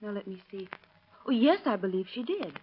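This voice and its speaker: A woman's voice: mid-range voice, big pitch swings, normal speed, slightly rough. An actress playing a coy, agreeable young woman with a light, coquettish manner, in 1930s radio drama style. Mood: coy, obliging. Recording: hissy, dull old radio recording.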